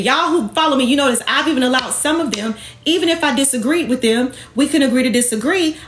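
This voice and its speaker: High-pitched, emphatic sassy woman. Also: echoey room, a little hiss or hum. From Jamaican heritage. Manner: emphatic, sassy, confrontational, colloquial, with rhetorical questions. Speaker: online host and women's empowerment speaker. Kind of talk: impassioned livestream talk to followers.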